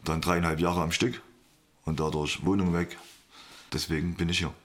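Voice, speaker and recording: A man with a low voice answers an interviewer. He talks casually and plainly, in an unembellished, matter-of-fact manner. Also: level tone, normal speed, fairly bright tone. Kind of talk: casual, plain-spoken personal account.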